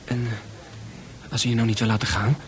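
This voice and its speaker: An actor playing a shy, tender young suitor in a radio play, halting and stammering as he speaks, earnest and protective. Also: medium pitch, level tone, natural voice. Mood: gentle, subdued. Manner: halting, stammering, repeats words. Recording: music behind the voice.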